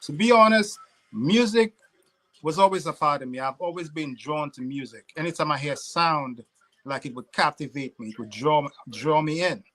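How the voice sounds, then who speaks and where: A man's voice: on the high side, moderate pace, fairly bright tone. A man from Saint Lucia with a Saint Lucian accent, a rapper talking casually about his music in an interview. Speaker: rapper guest.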